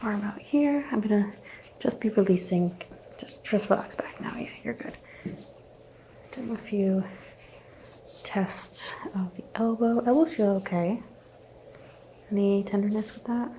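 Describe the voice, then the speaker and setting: A mellow-voiced woman speaks softly, often dropping to a whisper, as an ASMR chiropractor. She gives gentle, reassuring instructions in short phrases, with some ambient noise behind her. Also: medium pitch, unhurried, long pauses, rough recording. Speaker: ASMR host playing a chiropractor. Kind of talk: soft-spoken ASMR roleplay, often whispered.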